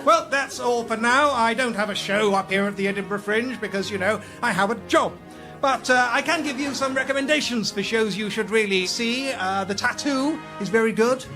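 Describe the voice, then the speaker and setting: A man's voice: very high-pitched, normal speed, music in the background. An actor playing a smug, pompous man giving a matter-of-fact radio comedy monologue. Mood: smug, deadpan. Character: smug man signing off as pressure-group founder.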